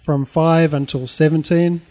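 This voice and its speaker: A middle-aged man with an Australian accent tells his life story informally, in a halting, reflective way. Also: fairly high pitch, fairly even intonation, darkish tone.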